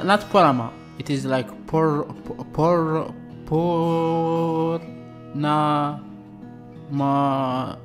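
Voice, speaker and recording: Algerian guy reacting to a guitar cover, slow halting english, bit higher voice, soft guitar playing behind. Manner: excitable, halting non-native English with restarts. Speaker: reaction channel host. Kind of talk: casual music reaction commentary. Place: small room with dark blue backdrop. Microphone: studio mic just in front of face. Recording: faint guitar music behind. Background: guitar music playing.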